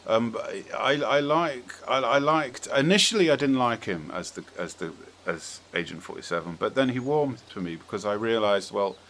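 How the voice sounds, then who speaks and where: A man's voice: mid-range voice, moderate pace, hardly any pauses, fairly bright tone. A man hosting a podcast, chatting casually about films and games in a tentative, rambling way, thinking aloud as he goes. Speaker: podcast host. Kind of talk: casual film and game chat. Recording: clearly audible background noise.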